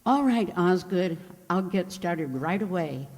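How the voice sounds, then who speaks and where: A woman's voice: fairly low pitch, animated, measured pace, a little mellow. A woman playing a sweet, scatty, obliging landlady in an old-style radio comedy, mild and chatty in manner. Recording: echoey hall, long reverb.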